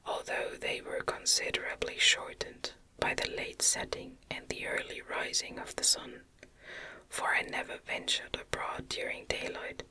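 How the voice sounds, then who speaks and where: A woman reading a gothic novel aloud in a gentle, breathy ASMR whisper, with careful phrasing, close to the microphone. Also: measured pace, low-quality recording. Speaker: audiobook reader.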